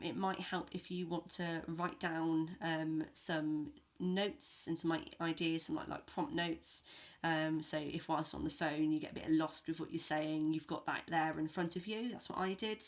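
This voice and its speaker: A woman vlogger giving informal advice in a hesitant, rambling way. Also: low-pitched. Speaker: online marketing vlogger.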